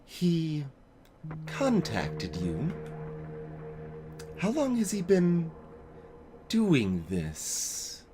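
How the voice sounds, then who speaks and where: A grave, mysterious game figure, acted by a man, speaks slowly and ominously with a pleading edge. The pitch moves a lot, and game music plays clearly behind. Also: huge pitch swings, dark tone, full character voice. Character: grave mysterious game character warning of danger.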